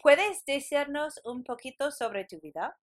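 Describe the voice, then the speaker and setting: A woman hosting the interview, speaking with lively, expressive intonation and asking slow, deliberate questions. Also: high voice. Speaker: host. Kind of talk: interview hosting and scripted intro.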